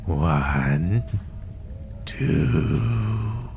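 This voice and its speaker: A man voicing a sadistic spider monster in a horror roleplay, taunting the listener with menace in a breathy, gravelly half-whisper. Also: low-pitched, expressive, a little mellow, slight background noise, heavily acted. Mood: gloating. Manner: slow, taunting, menacing, drawn-out phrases. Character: sadistic male spider monster hunting prey through the woods.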